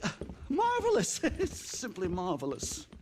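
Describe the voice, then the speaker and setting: A man playing an excitable, bumbling, drug-addled academic in a video game, pompous and stammering in an erudite way. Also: high voice, a little slow, background noise, heavily acted. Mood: flustered, cheery. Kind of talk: video game character acting.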